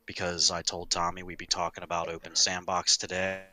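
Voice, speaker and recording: A middle-aged man hosting a casual podcast, talking in an emphatic, animated way with some swearing. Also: low voice, brisk. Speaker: podcast host, longtime game master.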